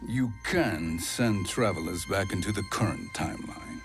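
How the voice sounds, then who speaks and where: An actor playing a scheming, self-serving sorcerer in a video game, persuasive and calculating in a measured way. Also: male voice, low-pitched, music in the background. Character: scheming male sorcerer, smooth-talking, self-serving villain. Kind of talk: dramatic video game acting.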